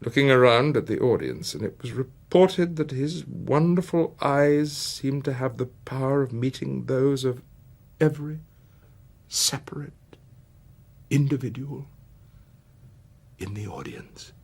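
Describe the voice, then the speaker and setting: A British man giving a theatrical scripted biographical narration, dramatic and emphatic, with weighted pauses. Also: mid-range voice, expressive, vocal fry. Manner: dramatic, dwelling on words with long emphatic pauses and swells.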